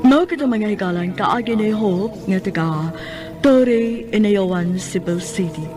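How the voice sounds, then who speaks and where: A woman's voice: medium pitch, a little slow. Cebuano woman announcing over prominent music. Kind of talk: scripted radio drama outro.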